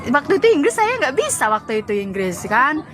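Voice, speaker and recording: High-voiced woman telling stories, laughing often. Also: a little fast, background noise. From Java, Indonesia. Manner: lively storytelling, laughs often. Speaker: former migrant domestic worker. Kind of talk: casual chat.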